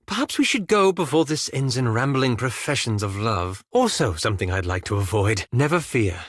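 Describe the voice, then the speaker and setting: An actor playing a reserved, fastidious wizard in a video game, with formal, dryly sarcastic and understated phrasing. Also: male voice, mid-range voice, sing-song, dry recording, clean studio sound. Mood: wry, cautious. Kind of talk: scripted video game character dialogue.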